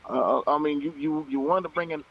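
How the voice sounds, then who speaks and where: A man with a slightly high voice presses his point in a heated panel debate. He is confrontational and needling, and he talks in casual slang. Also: a little fast, slightly rough, muffled recording.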